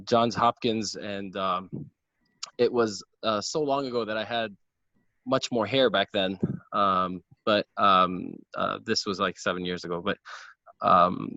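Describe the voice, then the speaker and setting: A man in his thirties with a very low voice gives an informal medical talk over a video call. He is earnest, and his sentences stall on ums and repeated starts. The sound is dull and dry, like a computer mic. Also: pushed and bright, no room sound. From Lebanon. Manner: earnest, frequent ums and restarts. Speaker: gynecologic oncologist giving a lecture.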